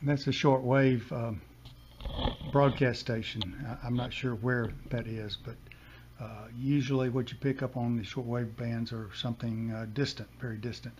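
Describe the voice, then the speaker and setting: A man in his seventies or older giving a casual, unscripted hands-on demonstration in a halting, plain-spoken way. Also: medium pitch, little pitch movement. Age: 70s or older. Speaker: hobbyist demonstrating a vintage radio. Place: basement room with a desk. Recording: dull home recording, loud radio hiss.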